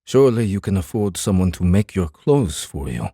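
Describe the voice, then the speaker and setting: Low, husky, formal samurai. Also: male voice, sing-song, mellow, no room sound, clean studio sound, full character voice. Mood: puzzled, commanding. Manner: measured, formal, deliberate. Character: formal, guarded samurai man, calm and commanding. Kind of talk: dramatized fantasy audiobook acting.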